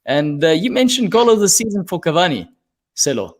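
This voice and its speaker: A man hosting a casual football chat show, quick and teasing as he steers the talk. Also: high voice, big pitch swings. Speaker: host.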